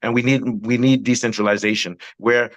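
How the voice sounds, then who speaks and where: Male host, mid-pitched, persuasive, dry close recording. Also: fairly even intonation, moderate pace, dry recording, muffled recording. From Nigeria. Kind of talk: political discussion.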